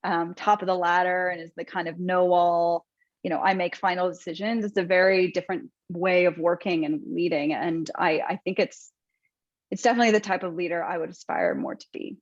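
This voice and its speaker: A woman with a lowish voice, speaking as an interview guest. She talks thoughtfully, with frequent ums and restarts. Her voice sounds dry and slightly muffled. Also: fairly quick, no echo. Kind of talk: conversational interview.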